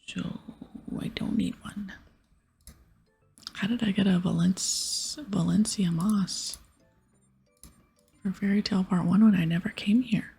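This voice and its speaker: A woman hosts a gaming session in a very breathy ASMR whisper. Her voice has a creaky edge and sits at an ordinary female pitch. She muses softly and casually as she plays, sometimes reading game text aloud. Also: steady tone, unhurried, pauses often, faint music behind. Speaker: host of an ASMR gaming series.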